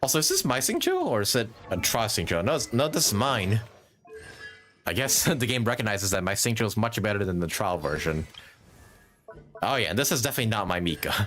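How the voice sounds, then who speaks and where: A man's voice: on the low side, big pitch swings, brisk, fairly bright tone, soft background music. A man doing casual, informal live commentary as he streams a video game. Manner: informal, swearing, chatty. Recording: faint noise behind.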